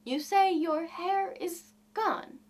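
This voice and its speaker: A woman's voice: very high voice, slow pace, pitched above the performer's own voice. A woman voicing an affectionate, easygoing young husband in a storybook reading, plain-spoken and emphatic. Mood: dazed. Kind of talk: storybook character dialogue.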